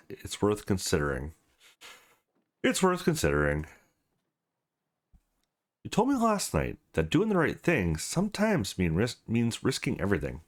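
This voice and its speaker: A man hosting a let's play, reading game script aloud in an expressive, sing-song way and adding brief reactions. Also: lowish voice. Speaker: let's play host reading visual novel dialogue aloud.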